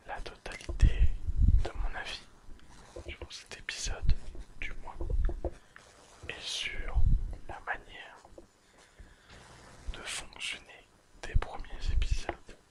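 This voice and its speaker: A person whispering an ASMR recap in a breathy, unvoiced voice, speaking deliberately in short, separated phrases as the host. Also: rough recording. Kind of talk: whispered ASMR episode summary and commentary.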